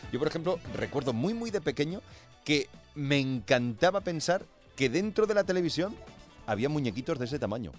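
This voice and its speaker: A man's voice: fairly high pitch, sing-song, fairly quick, soft background music. A man with an accent from Spain hosting a radio show in casual, playful, conversational chat. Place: radio studio.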